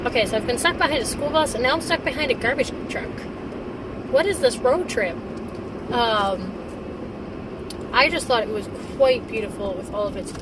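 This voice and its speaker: A young woman with a hoarse voice from recent laryngitis, chatting casually about a book she read while driving, with plenty of fillers. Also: a little high, far from the mic. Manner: chatty, rambling, many fillers. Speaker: book reviewer. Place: inside a car on the road. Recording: car cabin with loud road noise, off-mic.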